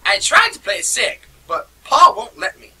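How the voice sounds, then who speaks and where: A man voicing a goofy, excitable boy, the youngest brother in an amateur cartoon, with a squeaky, dopey voice. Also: measured pace, gravelly, breathy edge, heavily acted. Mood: whiny.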